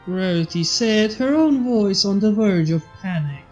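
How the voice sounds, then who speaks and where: Young woman narrating over soft music. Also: mid-range voice, animated, measured pace. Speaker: fanfiction reader and channel host. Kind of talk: story narration. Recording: slightly dull audio, soft background music.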